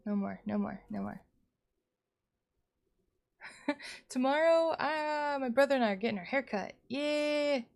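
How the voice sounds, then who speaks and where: A woman live streamer chatting casually to viewers in an expressive, rambling, playful way, sounding a little sleepy. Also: high-pitched, measured pace, creaky, pauses often. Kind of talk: casual chatty livestream sign-off. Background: music.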